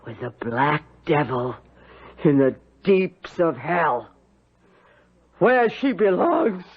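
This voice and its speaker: An actress playing a stern, bitter older housekeeper in a radio drama, with grave, emphatic delivery. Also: female voice, deep voice, big pitch swings, slow pace, slight background noise, exaggerated voice. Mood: bitter, accusing. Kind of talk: 1970s radio drama acting. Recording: dull vintage radio recording.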